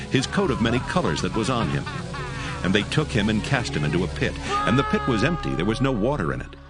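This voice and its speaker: A man reads scripture as a solemn dramatic narrator. His voice is fairly low with expressive rises and falls, and music plays clearly behind him. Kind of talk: dramatic scripted Bible reading.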